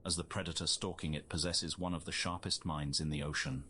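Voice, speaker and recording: A man playing a scientist who narrates a wildlife field study in measured, formal scripted documentary style. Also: very deep voice, little pitch movement, slight background noise. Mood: measured, informative. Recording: loud music under the voice.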